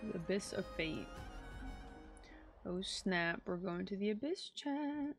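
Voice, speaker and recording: Creaky-voiced woman streamer. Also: mid-range voice, lively intonation, takes it slowly, soft background music. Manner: playful, slangy. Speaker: game streamer. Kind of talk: casual playthrough commentary.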